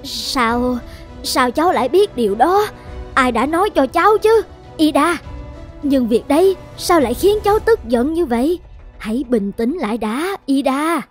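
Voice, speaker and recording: High-voiced, kindly older auntie. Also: female voice, expressive, a little slow, faint music behind. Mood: alarmed, pleading. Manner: soothing, concerned. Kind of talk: animated fairy-tale dubbing.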